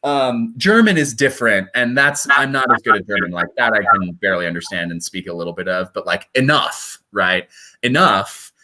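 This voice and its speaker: A young man from Colorado, a stage performer, chatting casually in an interview with lively, self-deprecating asides. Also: on the low side, moderate pace, rough recording. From Colorado, USA. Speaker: guest performer.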